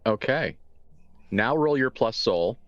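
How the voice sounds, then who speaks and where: A man improvising horror narration as a tabletop game master, halting and informal as he searches for words. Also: medium pitch, lively intonation, lo-fi sound, a little hiss or hum.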